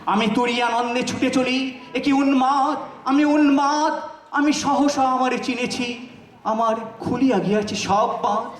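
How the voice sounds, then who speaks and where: A man recites poetry in a notably high voice, slowly and with dramatic, impassioned emphasis. His declamation sounds set back from the microphone in an echoing space. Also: fairly even intonation, slight background noise. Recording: roomy echo, distant microphone.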